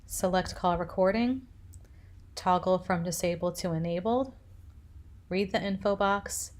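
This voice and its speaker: A woman with a slightly low voice narrates an instructional screencast. She guides the listener through the software one step at a time, as the presenter of a tutorial. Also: a little slow.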